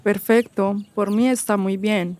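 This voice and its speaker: A woman's voice: mid-range voice, fairly even intonation, measured pace, darkish tone, no echo. A woman from Spain chatting politely and encouragingly with a stranger in a park. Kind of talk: friendly casual conversation. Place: outdoors in a park.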